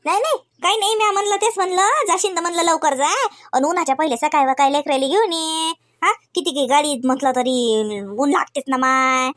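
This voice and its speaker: A woman's voice: high-pitched, fairly even intonation, fairly quick, fairly bright tone, dry recording, clean studio sound. A woman voicing a talkative, fussing village grandmother in a comedy cartoon, rambling and folksy, with a Varhadi Marathi accent. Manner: rambling, folksy, retelling conversations. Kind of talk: homemade comedy cartoon dialogue.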